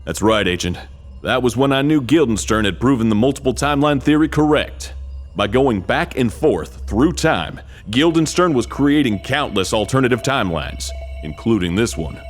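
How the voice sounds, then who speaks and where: An actor playing an earnest, absurdly serious military major briefing an agent in a comedic video game. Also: male voice, medium pitch, lively intonation, moderate pace, soft background music, natural voice. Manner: solemn, matter-of-fact about absurd things.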